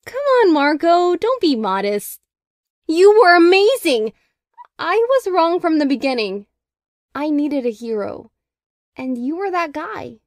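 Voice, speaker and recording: A woman's voice: high-pitched, sing-song, measured pace, no echo, studio quality, full character voice. A young woman playing an emotional teenage magic princess in a cartoon comic dub. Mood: tender, grateful. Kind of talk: fan comic dub voice acting.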